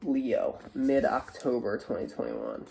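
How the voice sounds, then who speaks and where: Mellow, congested, nasal tarot reader. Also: mid-range voice, huge pitch swings, a little slow, vocal fry, slight background noise. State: stuffy, congested nose. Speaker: tarot reader, online show host. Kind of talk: casual spiritual tarot reading to camera.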